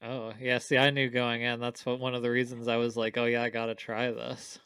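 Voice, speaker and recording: A man's voice: mid-range voice, monotone, fairly quick, bright, forceful tone, clear, resonant tone, no room sound. Male podcast panelist, clean resonant voice, informal conversational delivery, dry room. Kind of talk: casual chat.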